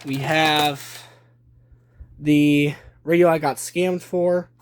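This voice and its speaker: Casting: young adult man, slightly high voice, casual hobbyist presenter, short deliberate phrases, faint noise in a handheld home recording. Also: fairly even intonation. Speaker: hobby collector presenting a video. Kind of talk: casual show-and-tell narration. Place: small room at home. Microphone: handheld phone behind the camera. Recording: noisy handheld home video with clicking.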